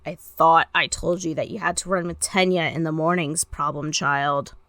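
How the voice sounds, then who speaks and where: A woman's voice: low-pitched, little pitch movement, moderate pace, a bit bright, dry recording, voice pushed down. Woman reading as a sardonic, threatening, tired male teacher-hero. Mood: weary scolding. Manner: sardonic, profane, commanding. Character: exhausted, deadpan, threatening male teacher-hero, fiercely protective. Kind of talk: audiobook-style fan fiction character dialogue.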